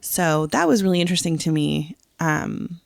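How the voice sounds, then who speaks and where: Female co-host, casual fan chat. Lowish voice with noticeable fry, filler-heavy conversational delivery, close dry sound. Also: lively intonation, normal speed, dry recording. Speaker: podcast co-host.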